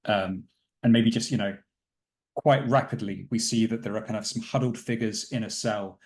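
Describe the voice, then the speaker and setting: A man's voice: on the low side, steady tone, normal speed, a little mellow. A man improvising story narration as a player in a tabletop roleplaying game, wordy and thoughtful with a dryly theatrical turn.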